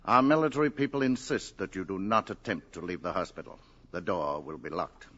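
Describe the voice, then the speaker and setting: An actor playing a polite, hesitant male government secretary in a 1950s radio drama, often pausing with "uh". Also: low voice, sharp tone. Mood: uneasy. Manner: polite, hesitant, with many uhs.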